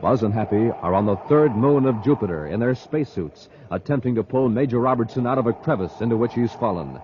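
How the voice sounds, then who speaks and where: Animated American male radio announcer. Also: mid-range voice, a little fast. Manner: enthusiastic, emphatic salesmanship. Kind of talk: 1950s radio announcing and ad pitch. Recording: dull vintage radio transcription.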